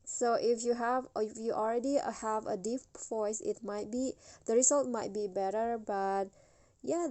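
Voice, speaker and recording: Higher-pitched woman giving a tutorial. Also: dark tone. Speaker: tutorial host. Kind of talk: casual step-by-step app tutorial narration.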